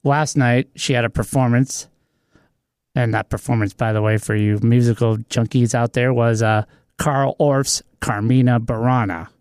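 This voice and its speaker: A man's voice: medium pitch, steady tone, normal speed, a little mellow, no echo. A man co-hosting a radio show, talking in an easygoing, storytelling way. His voice sits in a middle range and sounds dry and close. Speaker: radio co-host. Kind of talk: casual radio chat.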